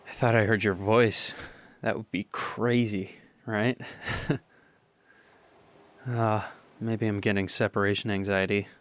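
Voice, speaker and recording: A man's voice: lowish voice, little pitch movement. Young man playing a nervous lovesick teen boy. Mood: wistful. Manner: halting, stammering, soft. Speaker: radio drama actor. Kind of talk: student radio drama monologue.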